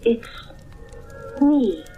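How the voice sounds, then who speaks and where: Radio mystery role: wronged, unforgiving woman, highish voice, curt and cutting, faint music underneath. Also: expressive, very slow, narrow, tinny audio. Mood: sharp, accusing. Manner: curt, accusing. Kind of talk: old-time radio mystery drama acting.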